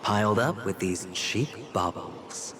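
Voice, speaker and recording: An actor playing a cool, sly young male gambler, calm and wry. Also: low voice, takes it slowly, noisy background.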